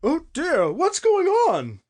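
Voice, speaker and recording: A man voicing an innocent, bumbling older male official, excitable and easily flustered, in an audiobook story. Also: very high voice, slow, voice pushed up, full character voice. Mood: confused, alarmed.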